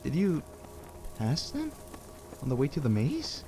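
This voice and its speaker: A man's voice: a little high, unhurried, slight background noise, exaggerated voice. An actor playing a frantic, haunted man trapped in a corn maze, warning in a panicked rush, in a radio play. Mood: fearful. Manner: panicked warnings that turn to eerie calm.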